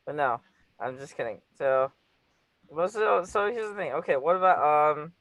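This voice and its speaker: Guy from california, kinda high voice, dry close mic, rambling and cussing a lot, teasing his guest. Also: lively intonation, moderate pace, a bit bright, no echo, rough recording. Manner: rambling, crude, lots of fillers, teasing. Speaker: channel host. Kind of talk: casual flirty video-call chat.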